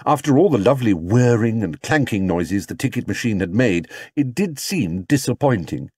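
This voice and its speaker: Guy reading a kids audiobook, really expressive up and down, husky, dry close sound. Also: mid-range voice, moderate pace, dry recording. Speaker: audiobook narrator. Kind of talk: scripted children's story narration.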